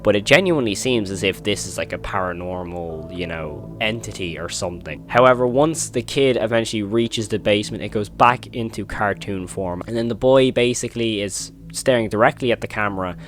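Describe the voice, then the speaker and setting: A man's voice: lowish voice, a little fast, few pauses, fairly bright tone, faint music behind. A man hosting a horror video commentary, retelling and reacting in an informal, emphatic way.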